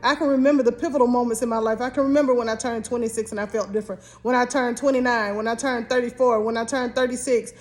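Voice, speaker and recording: A woman's voice: on the high side, fairly even intonation, quick pace, few pauses. An American woman in her fifties co-hosting a casual relationship advice talk, speaking earnestly and directly to the viewer. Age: 50s. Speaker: co-host giving marriage advice.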